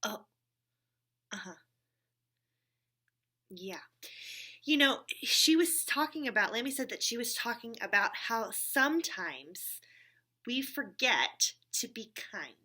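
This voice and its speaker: A woman's voice: a little high, very animated, slow, long pauses, pushed and bright. A woman hosting a church story time for children, speaking encouragingly and simply, with frequent check-in questions, as if chatting with a puppet friend. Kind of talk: talking to a puppet companion for young children.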